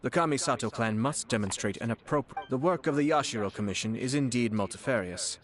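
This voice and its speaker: A man's voice: medium pitch, natural voice. An actor playing a composed young nobleman in a video game, speaking formally and politely. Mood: smooth, assured. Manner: formal, polite, measured phrasing. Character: refined young male clan lord, courteous and composed. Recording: music behind the voice.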